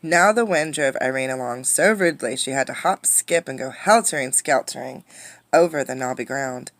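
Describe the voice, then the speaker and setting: A woman reading a storybook aloud as a teacher, expressively, pausing to ask listeners questions. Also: rumbling low, no room sound. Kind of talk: interactive storybook read-aloud.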